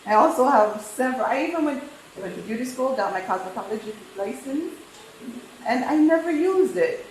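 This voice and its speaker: Opinionated woman cook with a New York-Jamaican accent, slightly high voice, echoey room. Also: expressive, fairly quick, a little hiss or hum. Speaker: cooking competitor. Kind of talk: casual chat while cooking. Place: rental apartment kitchen.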